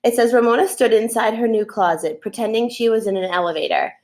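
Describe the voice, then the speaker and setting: A woman's voice: fairly high pitch, fairly even intonation, fast, darkish tone. A middle-aged woman reading a children's chapter book aloud as a teacher would, recorded at a computer in a room at home. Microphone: computer mic at desk, webcam framing.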